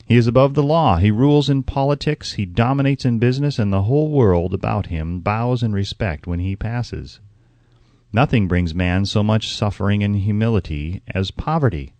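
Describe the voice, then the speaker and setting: A man reading an audiobook as scripted narration, in a measured, formal and emphatic way. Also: lowish voice, darkish tone, dry recording.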